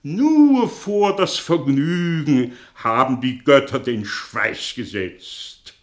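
An actor playing a cynical, bitter professor in a radio play, declaiming in with sardonic rhetorical questions. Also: male voice, mid-range voice, expressive, takes it slowly. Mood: bitter mockery. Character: bitter, cynical male professor, theatrical intellectual monologist. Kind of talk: German radio play acting.

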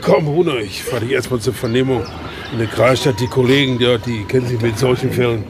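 A man's voice: mid-range voice, fairly even intonation, fairly quick, very noisy, lightly acted. An actor playing an officious, stiffly formal village policeman in a radio play.

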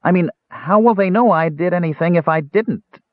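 A man voicing an eager, excitable small male kender who chatters breathlessly in a high voice. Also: moderate pace, no room sound, natural voice. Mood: excited. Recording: tinny phone-like narrow band.

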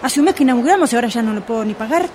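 An anxious, exasperated Argentine shopkeeper played by a woman in amateur radio theatre. She talks fast in a slightly high voice, pleading and pressing for answers. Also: expressive, darkish tone, some chatter behind, a little hiss or hum, natural voice. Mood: agitated. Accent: Argentine Rioplatense. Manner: insistent, pleading, exclaiming. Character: exasperated, anxious woman shop owner named Diana, short of money.

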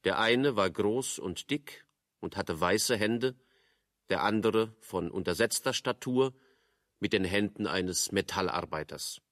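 Low, dry-sounding male radio narrator. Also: unhurried, fairly bright tone, no room sound. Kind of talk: scripted literary narration for radio drama.

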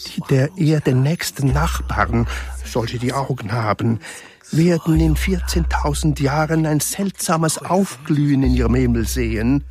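A man narrating a radio drama in a grave, measured, solemn way. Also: mid-range voice, a little mellow, faint music behind. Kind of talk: slow, solemn literary narration.

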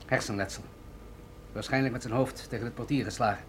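An actor in a radio drama playing an authoritative military general, grave and deliberate as he explains things. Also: mid-range voice, steady tone, slight background noise, natural voice. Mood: serious, probing.

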